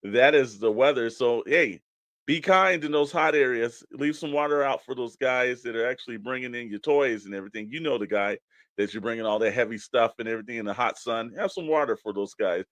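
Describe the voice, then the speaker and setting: A man's voice: mid-range voice, a little fast, a bit bright, no echo. A man co-hosting a radio-style show in a folksy, rambling, chatty way, with casual joking delivery into a close mic. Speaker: co-host reading weather segment.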